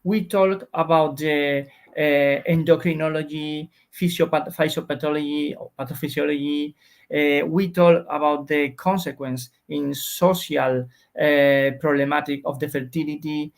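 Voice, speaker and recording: A man's voice: fairly high pitch, flat delivery, a little slow, gravelly. A man with a Spanish accent explains research as an interview guest, searching for words in non-native English.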